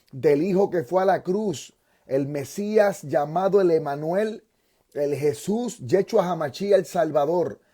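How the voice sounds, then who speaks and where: A pastor hosting an interview, a man with a mellow, somewhat high voice. He speaks fervently and keeps returning to phrases of praise. His delivery moves between preaching and friendly questions.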